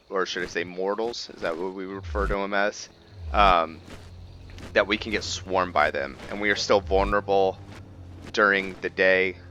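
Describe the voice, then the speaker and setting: Low-voiced man rambling through game commentary. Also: bright, forceful tone. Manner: rambling, thinking aloud to viewers. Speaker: gaming video host. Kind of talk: casual roleplay game commentary.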